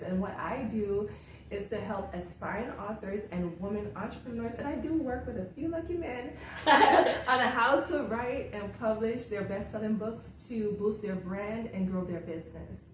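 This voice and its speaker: A woman's voice: medium pitch, moderate pace. A woman, a guest telling her story in a casual interview and laughing often. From Jamaica. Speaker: guest, author coach. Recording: roomy echo, distant mic, faint hiss.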